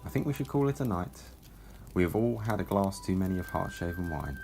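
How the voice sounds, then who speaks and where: An actor playing a wry, world-weary ageing Time Lord tutor in an audio drama, dry and unflappable with a gravelly low voice. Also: male voice, lively intonation, a little fast, music in the background.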